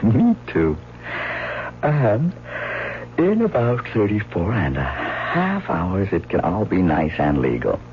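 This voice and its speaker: Low-voiced, earnest, halting young lover. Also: male voice, wildly swooping pitch, slight background noise, full character voice. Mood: tender. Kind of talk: old-time radio drama acting. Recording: dull vintage radio recording.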